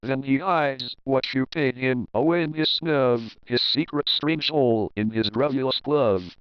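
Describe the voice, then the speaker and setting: Clear-voiced man reading a storybook. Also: medium pitch, measured pace, no room sound, dull audio. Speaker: storybook narrator. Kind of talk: expressive children's read-aloud of rhyming story.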